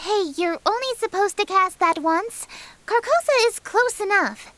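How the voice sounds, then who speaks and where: A woman's voice: extremely high pitch, lively intonation, measured pace, a bit bright, no room sound, full character voice. A woman voicing a haughty, theatrical female eldritch deity in an anime-style visual novel game. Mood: baffled, scolding. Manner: theatrical, domineering, grandiose.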